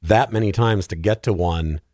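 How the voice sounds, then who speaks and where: Lowish-voiced man, casual podcast guest. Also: normal speed, darkish tone, no echo, studio quality. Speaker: podcast guest, film show host. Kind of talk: casual, humorous chat.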